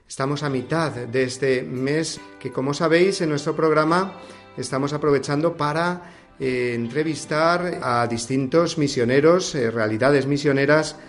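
A man's voice: fairly high pitch, faint music behind. Spanish man hosting a Sunday radio show. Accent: Spain Spanish. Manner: measured, warm welcoming tone with frequent "eh" fillers. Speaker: radio host.

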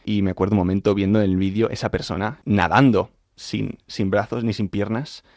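Low, creaky young man from Madrid. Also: steady tone, moderate pace, a little mellow, dry recording, clean studio sound. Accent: Madrid Spanish. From Madrid, Spain. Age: young adult. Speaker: interview guest, composer and singer studying at university. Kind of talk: informal spoken testimony in an interview.

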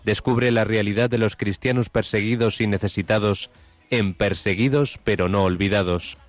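A man reading a scripted radio program promo in a measured announcing voice. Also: on the low side, fairly even intonation, no echo, soft background music.

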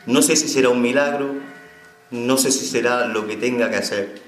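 A man's voice: medium pitch, moderate pace, roomy sound, faint music behind. A man from Spain telling his personal testimony as an inmate, heartfelt and emotional.

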